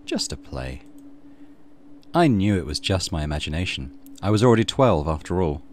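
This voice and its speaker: Deep-voiced man reading game narration. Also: very animated, normal speed, a little hiss or hum. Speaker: let's play host. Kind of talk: reading game narration aloud, with casual commentary.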